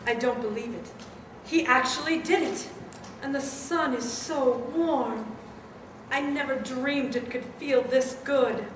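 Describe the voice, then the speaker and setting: Gargoyle villainess, played by a woman, high swooping voice, bitter, imperious, slow delivery, live radio play in echoey hall. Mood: awed. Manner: imperious, dramatic. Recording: echoey distant live hall, slight noise.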